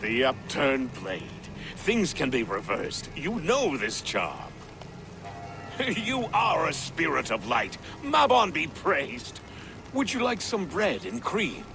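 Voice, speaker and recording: An actor playing a reverent, eccentric druid in a video game, speaking in a ceremonious, archaic, questioning way. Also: male voice, fairly high pitch, wildly swooping pitch, takes it slowly, creaky, music in the background, exaggerated voice. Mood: delighted, reverent. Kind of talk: theatrical game voice acting.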